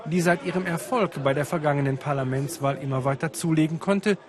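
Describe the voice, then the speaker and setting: Higher-pitched male news reporter. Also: fairly quick, a crowd in the background. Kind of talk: scripted narration with translated voice-over.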